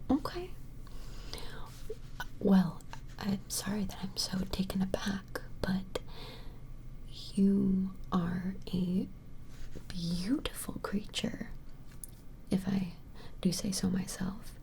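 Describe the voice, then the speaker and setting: A woman voicing a flustered, smitten vampire in an ASMR roleplay, whispering hesitantly and apologetically in a breathy voice. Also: mid-range voice, slight background noise, low-quality recording. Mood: smitten, taken aback. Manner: hesitant, apologetic, halting, softly attentive. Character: flustered, smitten female vampire measuring a strange creature. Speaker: ASMR performer.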